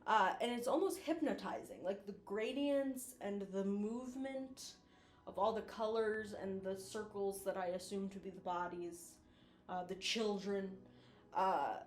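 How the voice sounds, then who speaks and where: A woman's voice: mid-range voice, measured pace. Informal, effusive woman in a roomy echo. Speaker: video essayist, host. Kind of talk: casual video commentary.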